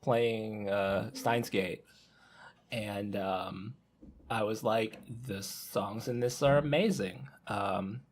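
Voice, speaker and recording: A man on a podcast panel sharing casual anecdotes, pausing often with ums. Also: lowish voice, a little hiss or hum.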